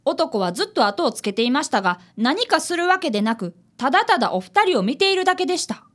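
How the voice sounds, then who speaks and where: ラジオドラマで、丁寧な口調の真面目な少年探偵見習いを演じる女性。. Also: female voice, high voice, very animated, fast talker. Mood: earnest narrating. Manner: polite, deferential speech. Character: polite, earnest young boy, apprentice detective. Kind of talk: radio drama acting and narration.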